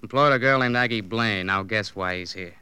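Crisp, forceful, sarcastic detective. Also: male voice, lowish voice, brisk, no echo. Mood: mocking. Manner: sarcastic, taunting. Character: wry, needling police detective sidekick. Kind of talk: classic radio crime drama acting.

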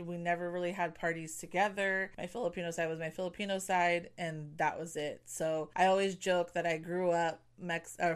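Young woman, smooth and clear, lowish pitch, candid casual chat, lots of fillers. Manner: candid, chatty, heavy use of "like" and "you know". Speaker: podcast host. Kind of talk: casual personal monologue.

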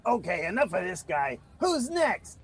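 A man playing a brisk, easily exasperated event host in a comedy sketch. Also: high voice, very animated, music in the background.

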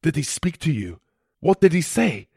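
A man's voice: on the high side, sing-song, moderate pace, a little mellow, slightly rough, dry recording, clean studio sound, pitched above the performer's own voice, exaggerated voice. A man voicing a proud, brusque older wizard who talks bluntly and scoffs in a storybook reading. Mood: eager.

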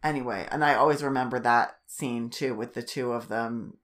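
A woman's voice: rumbling low, fairly even intonation, moderate pace. A woman co-hosting a podcast in casual, conversational talk with her fellow host.

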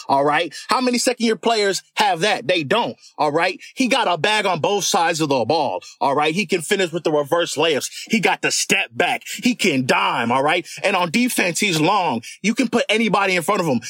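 High-voiced man on a fast sports rant. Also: no room sound, clean studio sound. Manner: animated, keeps tagging "all right?". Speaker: guest commentator.

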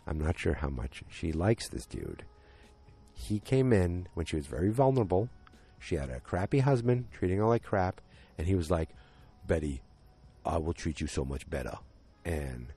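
A man hosting a solo podcast, recapping a TV episode in a rambling, self-correcting way, with his voice deepened after a concert, speaking outdoors. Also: a little mellow. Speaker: podcast host.

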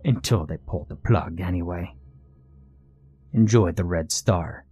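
A man's voice: low voice, a little slow, dark tone, husky, no room sound, dull audio. A man voicing a burly, crude bully in a storybook, taunting and coarse in manner. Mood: gloating menace. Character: burly, crude male bully, menacing and gloating.

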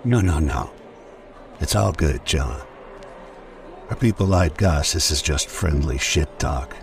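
A menacing radio-drama mercenary with a cybernetic edge. The voice is extremely deep and full of gravel, mocking and at ease, a little off the mic with music underneath. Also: male voice, animated, distant mic, exaggerated voice. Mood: coolly taunting. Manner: unhurried, mocking, casually threatening. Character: cool, menacing cigar-smoking cyborg mercenary man.

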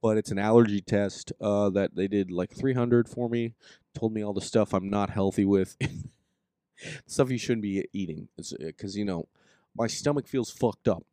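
A laid-back man hosting a podcast, his voice sitting fairly low and sounding close and dry. He rambles along with fillers and the odd swear word, easygoing and unpolished. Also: normal speed, dark tone, no room sound. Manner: loose, rambling, filler-heavy, swears casually. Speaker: podcast host. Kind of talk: casual podcast chat.

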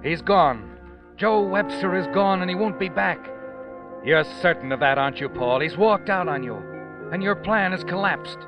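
An actor playing an ominous, knowing radio narrator, telling the story dramatically and insinuatingly in 1940s style. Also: male voice, sits high, expressive, normal speed. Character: ominous, knowing narrator who taunts the protagonist. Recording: dull vintage radio recording. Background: dramatic music.

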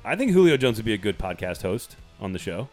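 A man's voice: fairly low pitch, very animated, fast, a little mellow, faint music behind. A man hosting a casual sports podcast, playful and teasing, reading an ad with gusto. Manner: playful, teasing, reads ads with enthusiasm. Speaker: podcast host.

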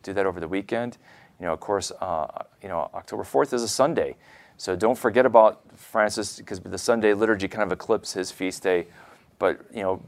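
Low-voiced earnest male host. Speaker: show host. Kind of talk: conversational interview talk.

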